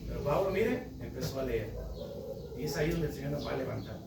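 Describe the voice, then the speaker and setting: A man's voice: medium pitch, animated, fast talker, creaky. A man in his thirties from Honduras preaching a fervent evangelical sermon, often calling out to his listeners. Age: 30s. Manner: fervent, calls out to the congregation with repeated asides. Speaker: lay preacher. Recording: roomy, distant and noisy recording.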